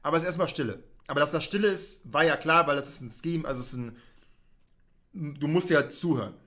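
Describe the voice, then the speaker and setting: Male battle rapper with a fairly high voice, rhythmic and punchy, live round. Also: fast, sharp tone. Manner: rhythmic, punchy delivery that builds to a payoff. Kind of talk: live battle rap performance.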